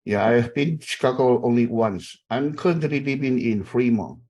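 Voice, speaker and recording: Halting, mellow-voiced older instructor. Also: male voice, mid-range voice. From Taiwan. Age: middle-aged. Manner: halting, many fillers and repeated okays. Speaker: university instructor. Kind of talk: online class, informal chatting. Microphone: video call.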